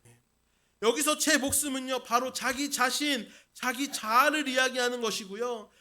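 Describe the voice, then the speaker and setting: Very high-voiced, bright man preaching. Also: steady tone, moderate pace. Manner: asks the congregation questions, emphatic repetition. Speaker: senior pastor. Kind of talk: Sunday sermon. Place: church service.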